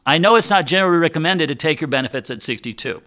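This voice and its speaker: Man, advice show host, forceful bright tone, slightly high pitch, folksy, conversational, informal. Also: lively intonation, racing. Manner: folksy, chatty, direct address to listeners. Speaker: host and financial advisor. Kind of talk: informal radio-style advice show, reading and answering listener questions.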